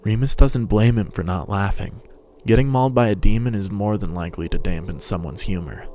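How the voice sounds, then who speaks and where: A man's voice: lowish voice, fairly quick, dark tone, slight background noise. A man giving a scripted, dramatic narration of a story. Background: music and sound effects.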